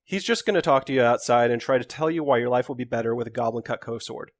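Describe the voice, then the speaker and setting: A man's voice: medium pitch, fast, pitched below the performer's own voice, natural voice. A man playing a frank, friendly tavern waitress in a game, confiding and matter-of-fact.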